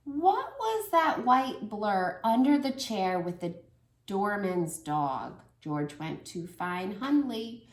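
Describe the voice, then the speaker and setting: A woman's voice: medium pitch, slow. A woman in her thirties reading a picture book aloud to toddlers, stopping to ask them questions. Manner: warm, animated, asks children questions. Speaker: children's story reader and teacher. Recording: echoey room.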